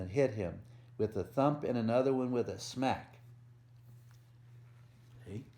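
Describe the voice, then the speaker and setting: Slow, mellow man reading to small children. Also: medium pitch. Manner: gentle, simple explaining, questions to the listener. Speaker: volunteer storyteller. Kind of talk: children's picture book read-aloud with asides to young listeners.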